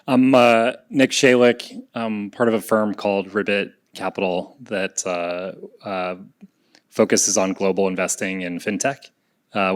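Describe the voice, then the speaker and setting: Deep-voiced man, hesitant panel talk. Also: a bit bright. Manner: hesitant, many "uh"s. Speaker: venture capital partner. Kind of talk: panel introduction.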